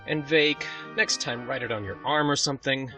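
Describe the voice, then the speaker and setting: A man playing a steady, good-natured young lord and commander in a fan audio drama. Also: medium pitch, moderate pace, faint music behind, close to an everyday voice. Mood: commanding.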